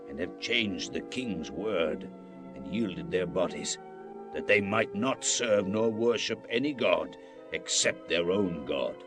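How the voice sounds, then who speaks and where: A wrathful, commanding king of ancient Babylon speaks in a low voice. The delivery is grand, deliberate and declamatory, as in a dramatized scripture, over a clear music bed. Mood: solemn decree.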